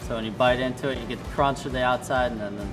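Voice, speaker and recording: Brisk male owner pitching his shop, quick delivery, music bed underneath. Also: steady tone. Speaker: restaurant owner. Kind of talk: promotional interview.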